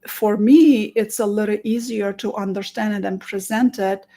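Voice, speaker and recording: A woman from Romania with a Romanian accent, a therapist giving an earnest promotional talk, with many restarts and stretched pauses. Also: mid-range voice, steady tone, warm tone. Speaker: therapist and conference presenter.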